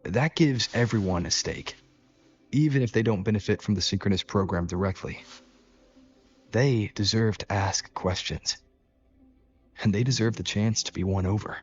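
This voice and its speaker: An actor playing a calm, thoughtful young hero in a video game, speaking in a measured, low-key, gravelly voice. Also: male voice, close to an everyday voice. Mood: composed, earnest. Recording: dull lo-fi game audio, faint music.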